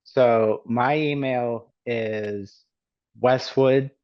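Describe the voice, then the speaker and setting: Man, middle-pitched voice, slightly hesitant, dull lo-fi call sound. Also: fairly even intonation, drawn-out words. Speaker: client. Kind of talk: casual conversation on a video call.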